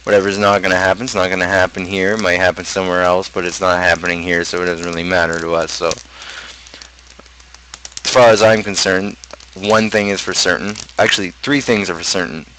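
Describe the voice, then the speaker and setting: Low-pitched man, halting and rambling. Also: level tone, pushed and bright, slight background noise, low-quality recording. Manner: halting, rambling, thinking aloud. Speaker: streamer host and amateur rapper. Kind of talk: casual talk explaining own song lyrics.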